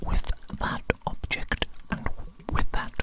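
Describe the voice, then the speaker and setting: Woman in a slow, breathy whisper. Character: evil doctor testing a tiny creature. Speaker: ASMR performer. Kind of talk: ASMR medical roleplay.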